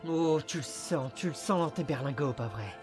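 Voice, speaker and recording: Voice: medium pitch, lively intonation, moderate pace, close to an everyday voice. A performer voicing a small talking creature, a cocky amnesiac detective with a sarcastic, dramatic, wisecracking manner, in a French film fan dub. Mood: earnest, hopeful. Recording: music behind the voice.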